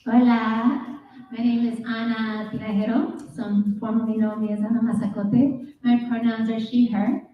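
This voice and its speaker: A woman's voice: a little high, level tone, moderate pace, dull audio. A woman art curator and dancer introducing herself on a panel in an easygoing, self-deprecating way. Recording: echoey room from far off.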